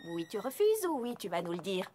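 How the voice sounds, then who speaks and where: A woman's voice: medium pitch, big pitch swings, a little fast, over music, close to an everyday voice. A woman voicing a bookish, earnest young female pony magician in a cartoon dub, lecturing and emphatic. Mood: insistent. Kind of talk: animated cartoon dub acting.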